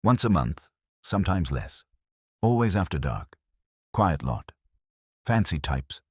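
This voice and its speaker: Man playing a deep, gruff harbour master. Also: very animated, slow pace, dry recording, clean studio sound, full character voice. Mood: gruff, knowing. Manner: clipped, plain-spoken. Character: burly, gruff male harbour master, plain-spoken. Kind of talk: audiobook detective story dialogue.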